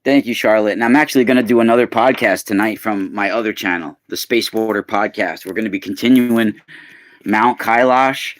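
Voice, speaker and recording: A man in his forties hosts a live unboxing stream, his voice sitting a little low yet sounding surprisingly young. He chats casually with viewers and calls them by name, breaking into bursts of excitement. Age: 40s. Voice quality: youthful-sounding voice.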